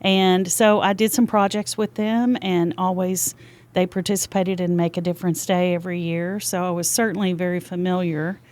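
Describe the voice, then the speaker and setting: A woman's voice: on the low side, level tone, normal speed, a little mellow. An older woman with a Texas accent, chatting freely as a podcast guest in a studio. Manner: chatty and talkative. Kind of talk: casual interview chat.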